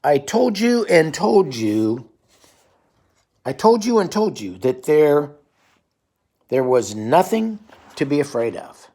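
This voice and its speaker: A nervous, pleading furry monster from a picture book, put on by a parent reading aloud. The voice jumps high and swoops around, drawing each word out slowly with a breathy edge. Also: sing-song, dark tone, full character voice. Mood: sheepish. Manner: slow, drawn-out pleading.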